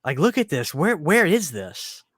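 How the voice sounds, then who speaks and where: Man, 30s, podcast co-host. Excitable, profane, muttering asides. Dry, close sound. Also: a little slow, no echo. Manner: excitable, swears a lot, drops to low mutters. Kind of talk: casual chat.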